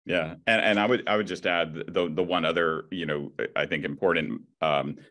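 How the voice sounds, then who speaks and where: Male moderator, middle-aged, very deep voice, halting, word-repeating conversational delivery on video call. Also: fairly even intonation, fairly bright tone. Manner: halting, repeats words searchingly. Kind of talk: moderating a panel discussion. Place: indoor room, video call. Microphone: video call computer mic.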